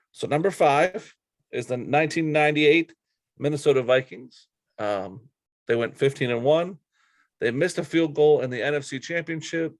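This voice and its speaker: A man's voice: medium pitch, no room sound. A man co-hosting a casual sports talk show, with a blunt, opinionated way of talking. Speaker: sports podcast co-host. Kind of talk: casual sports chat, counting down a list.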